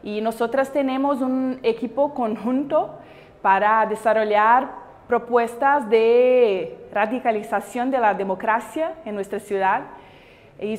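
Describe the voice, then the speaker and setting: A woman in her thirties from Brazil, a native Portuguese speaker with a Brazilian accent, giving earnest, deliberate interview answers as a city councillor. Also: a little high. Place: large bright indoor hall. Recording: echoey hall, music behind.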